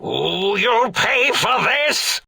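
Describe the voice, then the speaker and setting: An actor playing a manic, cackling old mad scientist villain in a video game, theatrical and grandiose, with a raspy, gravelly voice and drawn-out delivery. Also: male voice, high-pitched, sing-song, pushed and bright, heavily acted. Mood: indignant, manic.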